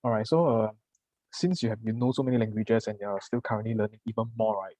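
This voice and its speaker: A man's voice: lowish voice, fairly even intonation, fast talker, mellow, no echo, poor audio. Singaporean man asking questions, dry sound. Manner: friendly, curious questioning. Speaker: interviewer. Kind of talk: casual interview questions.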